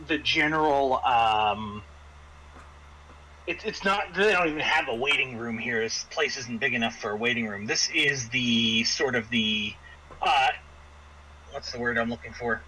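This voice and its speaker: A man narrating an improvised tabletop game as game master, often halting and repeating words as he searches for phrasing. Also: mid-range voice, pushed and bright, slight background noise, rough recording.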